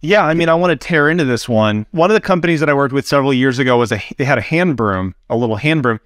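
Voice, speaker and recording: A man working as a consultant on a business podcast, his voice rough and a bit deeper from a recent cold, speaking frankly and with animation. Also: animated, fast talker, a bit bright, no echo, clean studio sound. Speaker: consultant, co-host. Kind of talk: casual business podcast chat.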